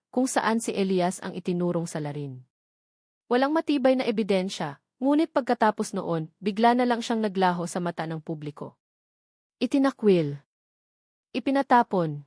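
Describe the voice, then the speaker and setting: Filipino woman, audiobook narrator. Mid-pitched, dry studio sound, dramatic and deliberate phrasing. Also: a little mellow, no room sound. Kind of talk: dramatic scripted story narration.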